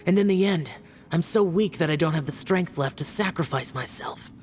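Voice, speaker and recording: A man's voice: fairly high pitch, moderate pace, darkish tone, faint music behind, natural voice. Man playing a solemn, stoic young immortal warrior. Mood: bleak challenge. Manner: solemn, terse, resolute. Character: stoic young male immortal warrior, grim and self-sacrificing. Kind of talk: video game voice acting.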